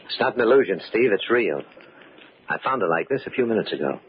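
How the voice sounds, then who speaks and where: An actor playing a grave, formal masquerade host in a 1940s radio mystery, speaking deliberately and dramatically. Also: male voice, lowish voice, lively intonation, close to an everyday voice. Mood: grave, accusing. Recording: muffled, faintly noisy old radio recording.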